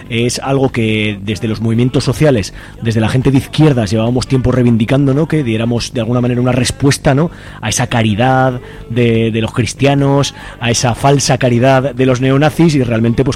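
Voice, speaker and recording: A man's voice: mid-range voice, a little mellow. A man with an accent from Spain hosting a radio interview in an informal, explanatory way, often adding tag questions. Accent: Spanish from Spain. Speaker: radio host.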